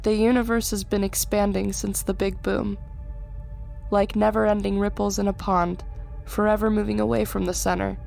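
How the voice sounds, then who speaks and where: Woman acting a creaky-voiced, grave scientist. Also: medium pitch, level tone, moderate pace, darkish tone, faint music behind. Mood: grave, sorrowful. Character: grave, caring adult female space station scientist. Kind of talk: audio drama acting.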